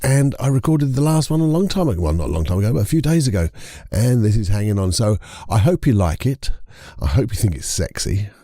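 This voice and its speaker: A man with a croaky voice hosting a casual solo podcast, chatty and rambling, correcting himself as he goes. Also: fairly low pitch, lively intonation, brisk, hardly any pauses, warm tone, no room sound, clean studio sound. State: croaky voice from a lingering cold. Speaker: podcast host and hypnotherapist.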